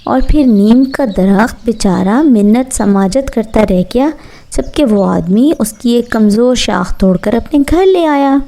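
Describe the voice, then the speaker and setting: Mellow, high-voiced woman storyteller. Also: lively intonation, measured pace. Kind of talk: scripted bedtime story narration for children.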